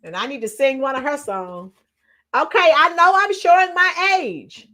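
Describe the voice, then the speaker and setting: A middle-aged woman with a hoarse, tired voice chatting casually with livestream viewers, reading out comments and singing bits of songs. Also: high-pitched, normal speed, bright, forceful tone. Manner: chatty, reads out comments and sings along to songs. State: hoarse, losing her voice. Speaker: livestream host.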